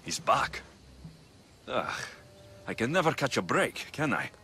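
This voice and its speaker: An actor playing a young mercenary in a video game, wry and put-upon, with a blunt, grumbling manner. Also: male voice, mid-range voice, sing-song, a little slow, pushed and bright, slight background noise. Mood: weary, resigned. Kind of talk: video game cutscene acting.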